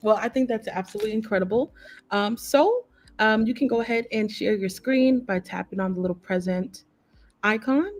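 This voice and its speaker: A woman's voice: mid-range voice, moderate pace, faint music behind. A woman hosting a live online workshop in an upbeat, effusive way, welcoming the audience over a video call. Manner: upbeat, effusive, lots of praise words. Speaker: workshop host.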